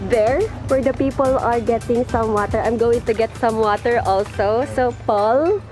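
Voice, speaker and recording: A bubbly young woman with a Filipino accent hosts a casual travel vlog, her voice sitting high and bright. She talks outdoors into a handheld camera, often breaking into delighted exclamations. Loud music plays beneath her throughout. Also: normal speed. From Philippines. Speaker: travel vlogger. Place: outdoors on a tropical island.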